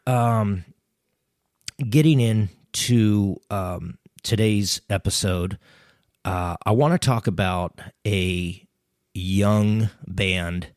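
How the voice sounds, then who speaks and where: A middle-aged man hosting a casual solo podcast, talking in a relaxed, rambling way with lots of ums, close to a studio mic. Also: sits low, no echo. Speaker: podcast host.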